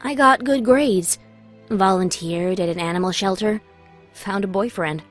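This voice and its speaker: A woman's voice: medium pitch, a little slow. Emotive young woman narrating over music. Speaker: first-person story narrator. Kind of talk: dramatic scripted narration.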